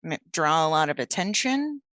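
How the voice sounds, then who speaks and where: A woman narrating as storyteller for a tabletop werewolf game, setting scenes slowly and deliberately. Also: deep voice, very animated, a bit bright, no room sound, clean studio sound.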